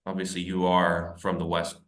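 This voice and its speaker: A man's voice: low voice, monotone, moderate pace, a bit bright. A man, a guest author, chatting loosely in an interview and filling his speech with "like" and "you know". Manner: loose, conversational, heavy use of "like". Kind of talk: casual interview chat. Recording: roomy echo.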